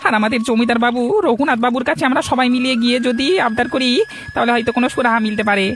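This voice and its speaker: A man's voice: extremely high pitch, fairly even intonation, racing, fairly bright tone. A man voicing a devout, despairing older village farmer in a cartoon, earnest and lamenting. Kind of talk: dubbed cartoon dialogue. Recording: faint background noise and ambience.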